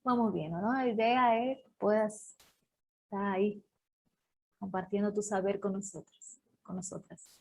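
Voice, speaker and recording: Host, female, introduces guest haltingly, restarts on names. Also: medium pitch, fairly even intonation, vocal fry, a little mellow, a little hiss or hum. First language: Portuguese. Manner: halting, self-correcting over names and words. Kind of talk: online talk introduction.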